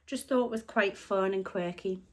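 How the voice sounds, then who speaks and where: A woman's voice: mid-range voice, moderate pace. A woman with a British accent chatting casually in a vlog about her thrift finds, a little snuffly from a chest infection, rambling and correcting herself as she goes. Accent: British English. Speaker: reselling vlogger.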